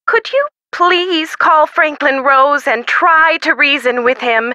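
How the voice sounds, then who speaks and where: An actress playing a brisk, wry, harried middle-aged museum curator in a video game, with a theatrical, sarcastic delivery. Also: female voice, high-pitched, sharp tone, no room sound, lo-fi sound, heavily acted. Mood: exasperated. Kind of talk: scripted video game dialogue.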